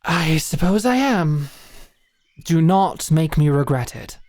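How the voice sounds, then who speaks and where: Woman acting proud female knight, low-pitched, dry close sound, brusque, commanding, taunting, fantasy audio drama. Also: animated, slow pace, a little mellow, no room sound. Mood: stern, grudging. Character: stern, proud woman knight, brusque and teasing sparring mentor.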